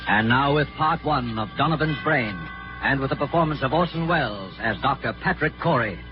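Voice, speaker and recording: A man introducing a 1940s radio program as host, in a formal, measured, dramatic scripted style. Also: medium pitch. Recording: muffled 1940s radio recording, music behind.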